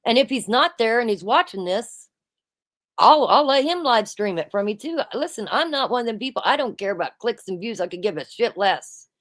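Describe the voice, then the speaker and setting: A woman hosting a casual chat, loud-voiced and emphatic, who keeps saying "absolutely" and "listen.". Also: fairly high pitch, animated, normal speed. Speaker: host.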